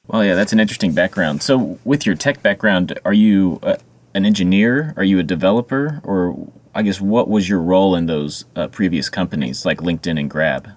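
Deep-voiced male podcast host. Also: brisk, no room sound. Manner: curious, plain questioning. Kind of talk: interview questions.

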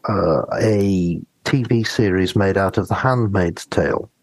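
A man co-hosting a podcast, chatting in a measured, slightly hesitant and reflective way. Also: low-pitched, a little mellow, no room sound. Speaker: podcast co-host. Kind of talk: casual discussion of a radio drama.

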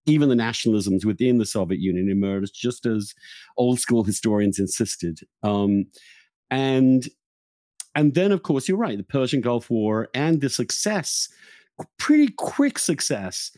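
A man with a soft, mellow voice hosts a thoughtful conversation, recorded very dry and close. He speaks haltingly, feeling his way through ideas and doubling back on words as he reflects. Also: medium pitch, animated, dry recording. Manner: halting, searching, self-interrupting with repeated words. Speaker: podcast host.

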